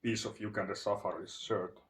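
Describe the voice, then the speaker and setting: A Finnish man with a Finnish accent, telling a long digressive story in a halting way with many fillers and restarts. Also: on the low side, fairly even intonation, fairly bright tone, far from the mic. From Finland. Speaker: channel host. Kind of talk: rambling storytelling monologue. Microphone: phone.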